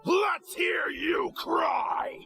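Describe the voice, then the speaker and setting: A man voicing a wild male barbarian warrior in a game, shouting gravelly battle cries. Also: very high-pitched, extremely slow, heavily acted. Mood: battle cry. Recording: game audio clip with music.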